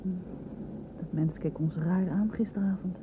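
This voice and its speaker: Radio drama girlfriend, female actor: slightly low, uneasy, hesitant, questioning, narrow noisy recording. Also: moderate pace, natural voice. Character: uneasy, doubting girlfriend of a deserter. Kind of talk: radio play acting. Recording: muffled old radio play, noisy background.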